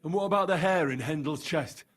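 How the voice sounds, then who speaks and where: A young investigator in a medieval video game. His voice is rough-edged and a little high for a man. He presses with stern, direct, exasperated questions. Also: brisk, a bit bright. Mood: incredulous. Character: earnest young male investigator, stern and probing. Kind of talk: dramatic video game acting.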